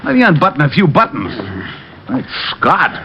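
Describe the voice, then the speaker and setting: A man's voice: fairly high pitch, wildly swooping pitch, normal speed, slight background noise. An actor playing a sardonic, cocky private detective in 1940s radio style, wisecracking his way through dialogue and narration. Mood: wry, probing. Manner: sarcastic, wisecracking. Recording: muffled old radio recording with music.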